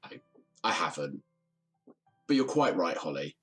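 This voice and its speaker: An actor playing a lonely, reserved bachelor neighbour in an audio drama, diffident, wistful and self-deprecating. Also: male voice, sits low, sing-song, measured pace, breathy edge, faint music behind, full character voice. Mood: bashful.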